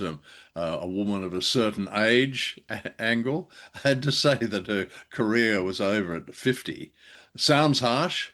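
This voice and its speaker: Low-voiced man in measured discussion. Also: fairly bright tone. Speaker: podcast co-host reviewing old radio drama. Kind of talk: conversational critical discussion.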